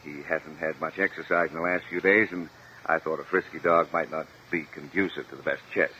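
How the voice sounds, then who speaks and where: Polite neighbor friend, low voice, talks quick, muffled hissy old radio show. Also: male voice, steady tone, bright, forceful tone. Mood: courteous, concerned. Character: polite, deferential male neighbor and chess friend. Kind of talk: 1950 radio drama acting. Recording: muffled, hissy vintage 1950 radio recording.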